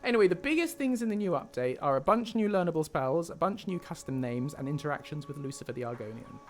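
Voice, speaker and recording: A streamer with a medium-pitched, lively and varied delivery. The talk is casual and chatty, full of self-corrections, with faint game music underneath. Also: fast talker. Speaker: live streamer, mod creator. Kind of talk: casual livestream commentary.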